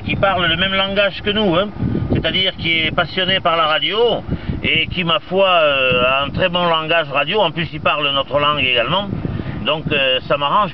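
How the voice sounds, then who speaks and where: A muffled, noisy two-way radio signal carries a man with a distinctly high voice. He chats casually as a radio hobbyist, talkative and dropping operator jargon as he goes. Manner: chatty, peppers talk with radio jargon. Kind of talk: casual radio contact chat.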